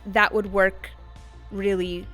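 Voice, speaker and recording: A woman's voice: mid-range voice, level tone, takes it slowly, a bit bright, soft background music. A woman in a stage cast, talking bubbly and enthusiastic in an interview. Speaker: stage performer. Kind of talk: casual interview.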